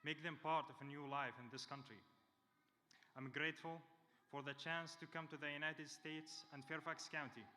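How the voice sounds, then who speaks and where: A man's voice: on the high side, steady tone, moderate pace, bright, forceful tone. Young man giving a graduation speech. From Syria. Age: 20s. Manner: earnest, reading from a script. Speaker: graduation speaker. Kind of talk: prepared speech. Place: large hall. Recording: reverberant hall with faint ambience.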